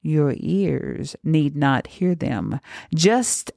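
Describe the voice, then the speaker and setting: A woman voicing a vengeful witch who speaks in a slow, mocking, hissing way. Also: deep voice, lively intonation, gravelly, warm tone, no room sound, studio quality, full character voice.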